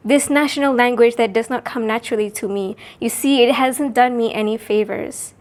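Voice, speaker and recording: Woman performing slow spoken word poetry. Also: a little high. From Sarawak, Malaysia. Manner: measured, deliberate, with pauses between phrases.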